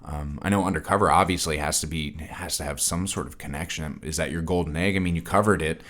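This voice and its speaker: An actor playing an earnest, deferential podcast host and devoted fan, sometimes hesitant, in a scripted mock interview. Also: male voice, rumbling low, fairly even intonation, quick pace, a bit bright. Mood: nervous, eager.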